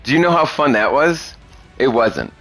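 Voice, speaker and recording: A man's voice: medium pitch, very animated, normal speed, fairly bright tone, no room sound, soft background music. A man in his thirties talking bluntly and profanely in a rambling casual podcast chat. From Chicago area. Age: 30s. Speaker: podcast host.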